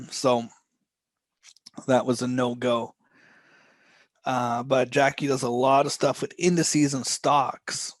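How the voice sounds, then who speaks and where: A man teaching a live trading session, his voice going hoarse as he talks, with loose, rambling phrasing, restarts and frequent throat clearing. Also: medium pitch, no room sound. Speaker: trading instructor.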